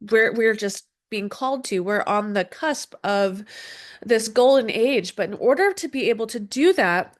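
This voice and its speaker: Woman host, a bit stuffy with a cold, rambling casual delivery full of ums. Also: mid-range voice. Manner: loose and rambling, many ums and restarts. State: slightly congested, sniffly from a cold. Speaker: astrology podcast host. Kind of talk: casual solo podcast talk. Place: indoor room with dogs. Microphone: desk setup with camera and screen share.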